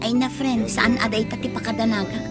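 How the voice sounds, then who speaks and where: A woman's voice: a little high, flat delivery, brisk, close to an everyday voice. A woman acting a guarded, hesitant young woman being courted in a radio drama. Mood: doubtful. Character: guarded young woman, courted but wary of drinking men. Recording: music under the voice.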